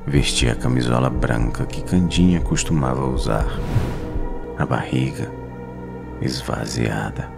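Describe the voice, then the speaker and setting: A Brazilian man with an extremely low voice tells a horror tale at an unhurried pace. His delivery is suspenseful and dramatic, with weighted pauses, and music plays behind him. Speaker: horror story narrator. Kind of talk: dramatic scripted horror narration. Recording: narration over clear background music.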